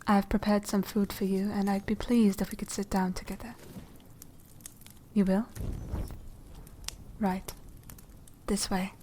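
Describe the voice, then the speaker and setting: A woman playing a polite, secretive young noble vampire lady in a soft, half-whispered ASMR roleplay, speaking formally and with measured care. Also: mid-range voice, flat delivery, slight background noise, natural voice. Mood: courteous, reserved. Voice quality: soft, breathy whispering edge. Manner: hushed, formal, measured, genteel.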